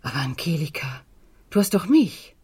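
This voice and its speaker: Low, husky, insistent mother. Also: very animated, normal speed, a little mellow, exaggerated voice. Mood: reproachful. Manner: insistent, controlled. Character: firm, possessive mother pleading with her resistant daughter. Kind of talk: German radio crime drama acting.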